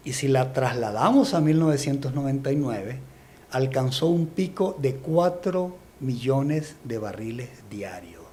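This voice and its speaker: A man's voice: mid-range voice, expressive, unhurried, a little hiss or hum. A man born in Venezuela giving emphatic political commentary as an interview guest, explaining at length and stacking up his points. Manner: forceful, explanatory, builds lists of accusations. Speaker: guest analyst.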